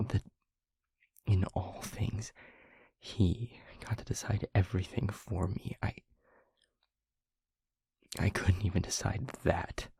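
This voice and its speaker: Whispering, gravelly, haunted vampire boyfriend acted by a man. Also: low-pitched, no room sound, heavily acted. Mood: bitter, anguished. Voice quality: breathy, husky near-whisper. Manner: halting, hushed, earnest delivery with heavy pauses. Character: tender, haunted male vampire boyfriend confessing past despair. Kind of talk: intimate ASMR-like audio roleplay.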